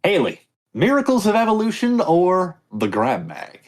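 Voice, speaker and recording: Joking man hosting a lively quiz. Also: sits high, normal speed. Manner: joking, reads statements aloud, tangents. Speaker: quiz host. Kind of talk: casual comedy podcast quiz.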